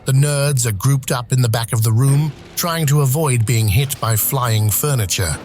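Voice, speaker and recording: A man's voice: mid-range voice, moderate pace, darkish tone, soft background music. A man reading scripted screenplay narration for an audio drama.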